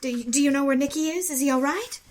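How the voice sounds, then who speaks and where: A woman's voice: high-pitched, fast, slight background noise, natural voice. An actress playing a polite, distressed young woman putting on bravery in a noir audio drama. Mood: anxious. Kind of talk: noir radio drama acting.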